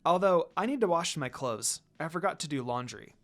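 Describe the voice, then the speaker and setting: A man voicing an affectionate young woman in a romantic story, with a soft, earnest manner. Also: fairly high pitch, expressive, normal speed. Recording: music under the voice.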